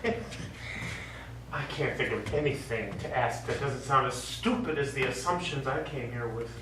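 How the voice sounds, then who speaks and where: A man's voice: medium pitch, moderate pace, natural voice. Former soldier, male stage actor: sincere, wounded, devastated; picked up from afar with background noise. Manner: earnest, wounded. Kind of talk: stage play acting. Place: theatre studio stage. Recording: echoey stage, distant mic, noisy.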